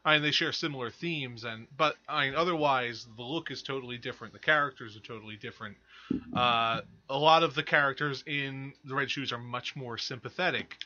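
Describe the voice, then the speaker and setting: A man's voice: medium pitch, brisk, sharp tone. A man co-hosting a movie podcast, casually analysing films and often correcting himself as he goes. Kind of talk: casual film discussion. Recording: slightly dull, lo-fi podcast audio.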